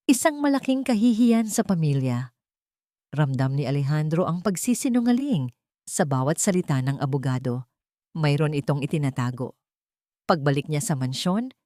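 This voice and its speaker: Low-voiced woman narrating a drama. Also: no echo, studio quality. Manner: steady, dramatic storytelling. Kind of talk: scripted audio drama narration.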